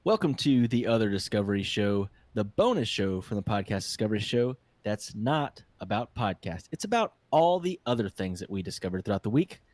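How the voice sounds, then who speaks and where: A man's voice: lowish voice, sing-song, a little fast, hardly any pauses, a little mellow, dry recording. A man co-hosting a casual podcast, a little congested, chatting and joking easily. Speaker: podcast co-host. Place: hot room with a fan.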